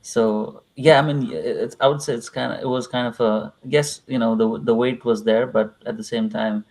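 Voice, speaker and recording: A man's voice: mid-range voice, fairly even intonation, moderate pace, a little mellow. A young man from Nepal, a guest in a live interview, talking casually and hesitantly with many fillers. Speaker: interview guest, visa client.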